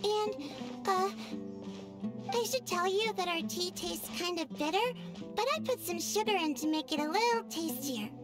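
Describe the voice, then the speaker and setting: A woman voicing a shy, sickly young girl in a game, speaking in a soft, hesitant and polite way. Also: extremely high pitch, lively intonation, moderate pace. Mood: gentle. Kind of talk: game voice acting. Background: game music.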